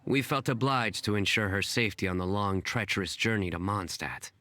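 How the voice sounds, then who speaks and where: A man's voice: fairly low pitch, fairly quick, very noisy, close to an everyday voice. An actor playing a stern young male official in a game cutscene, dry, formal and clipped.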